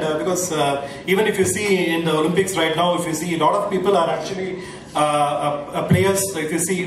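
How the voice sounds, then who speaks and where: A man giving a halting launch speech as a team owner, full of fillers and restarts. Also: a little high. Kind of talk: hesitant public speech. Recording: echoey event hall, distant mic, faint noise.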